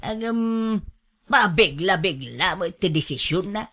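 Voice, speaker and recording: A woman playing a fretful, scolding but caring older mother and grandmother in a radio drama, with drawn-out exclamations. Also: on the low side, animated, a bit bright, breathy edge, full character voice. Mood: gossiping, regretful.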